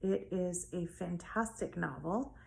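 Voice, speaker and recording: A woman schoolteacher talking and reading aloud to children in an expressive way. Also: on the low side, a little slow, creaky. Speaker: elementary school teacher. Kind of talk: casual talk to pupils and storybook read-aloud.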